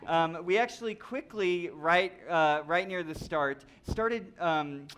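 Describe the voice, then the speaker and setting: A man speaks as one of two presenters in a live talk with slides. His voice sits a little higher than usual for a man, and he addresses an audience. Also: slow pace, a bit bright, slight background noise.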